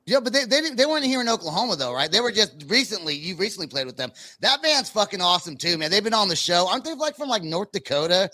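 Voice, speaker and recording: A man hosts a casual interview show. His voice is high and bright, and the sound is very dry. He talks informally, as in loose conversation. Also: big pitch swings, quick pace, few pauses, no echo. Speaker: podcast host.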